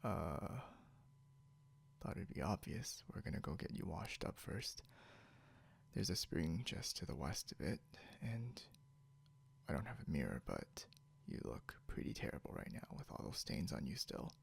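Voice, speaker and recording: A man playing a possessive, snarky, non-human captor in an ASMR roleplay, speaking softly and deliberately, often close to a whisper, with smug condescension. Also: low-pitched, sing-song, a little hiss or hum, exaggerated voice. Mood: teasing, menacing. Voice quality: soft, breathy, often near whisper. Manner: smug, teasing, slow and deliberate.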